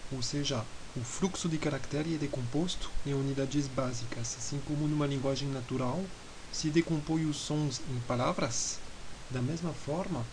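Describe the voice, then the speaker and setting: A man with a foreign accent giving an improvised university lecture in a podcast, speaking in a hesitant, measured way and spelling things out slowly. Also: medium pitch. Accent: foreign accent in Portuguese. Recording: hissy lecture recording.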